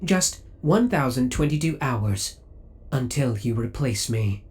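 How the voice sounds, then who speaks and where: A man's voice: medium pitch, expressive, a little slow, darkish tone, a little hiss or hum, lightly acted. A man playing a possessive, coolly obsessive male spaceship AI in an ASMR audio roleplay, speaking with formal, precise, robotic politeness. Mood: resigned, jealous.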